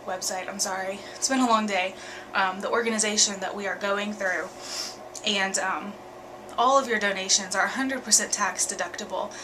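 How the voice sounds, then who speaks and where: A young woman, talking candidly in a home vlog, earnest and full of ums and pauses. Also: mid-range voice, background noise. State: congested with a cold, sniffly. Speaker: vlogger. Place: bedroom at night.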